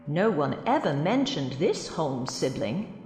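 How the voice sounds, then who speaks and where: Low-voiced, mysterious storyteller. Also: female voice, big pitch swings, takes it slowly, a little mellow, faint music behind, exaggerated voice. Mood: mysterious, inviting. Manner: storytelling, theatrical. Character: mysterious female guide narrating dark tales from a crypt. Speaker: narrator. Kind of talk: scripted dramatic narration.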